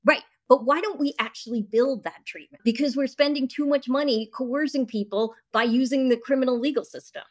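A woman with a fairly high voice speaks as a guest in a debate-style interview. She argues insistently, laying out explanations point by point. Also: fairly even intonation, a little fast, few pauses, darkish tone. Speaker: guest, author and journalist.

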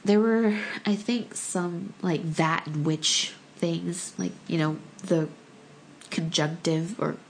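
A woman from the United States whose first language was Korean, speaking in a low-pitched, unhurried voice. She talks casually, weighing her words and often restarting mid-thought. Light laughs come easily between phrases. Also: fairly even intonation, creaky, a little hiss or hum, low-quality recording. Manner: thoughtful, hesitant with restarts and fillers. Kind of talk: casual conversation.

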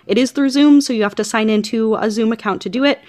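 A woman's voice: fairly high pitch, fast, a little mellow, no room sound, clean studio sound. A woman on a podcast panel giving information in a casual, conversational style.

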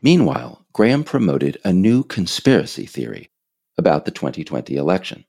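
An American man reading scripted political narration in a measured, emphatic, explanatory way. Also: sits low, big pitch swings, creaky, a little mellow. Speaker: author narrating his audiobook episode.

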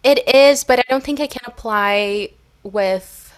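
A woman's voice: fairly high pitch, unhurried. Chatty young woman, native Portuguese speaker. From Brazil. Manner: chatty, rambling, with many fillers and restarts. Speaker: podcast co-host. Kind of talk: casual chat.

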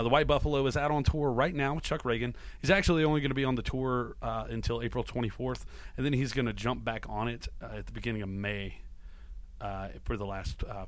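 A man's voice: mid-range voice, no room sound. A man hosting a podcast, rambling casually through an introduction in an informal, self-deprecating way. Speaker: podcast host.

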